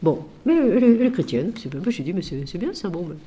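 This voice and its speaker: A woman, a Franciscan sister and author, talks with feeling about her book, swinging from emphatic questions to quiet asides while she has a cold. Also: on the low side, fast, slightly rough. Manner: expressive, shifting from loud emphasis to quiet asides; asks rhetorical questions.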